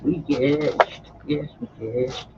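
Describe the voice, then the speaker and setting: A man voicing a wretched, twitchy little male creature in a shrill, whining voice that cracks into squeals. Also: extremely slow, lo-fi sound, heavy background noise. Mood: fearful.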